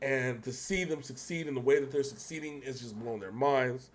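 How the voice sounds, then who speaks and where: A man's voice: mid-range voice, fairly quick. An American man hosting a reaction show in casual, slangy, rambling chat.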